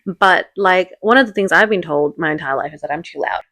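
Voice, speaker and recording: A woman's voice: fairly low pitch, brisk, fairly bright tone. A young woman co-hosting a podcast, a therapist talking in a chatty, rambling way full of "like" fillers. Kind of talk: casual podcast chat.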